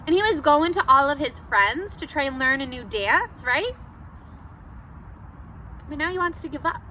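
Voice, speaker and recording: A woman teacher doing an interactive read-aloud for children, animated and encouraging, asking questions and pausing for answers. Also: high-pitched, slight background noise.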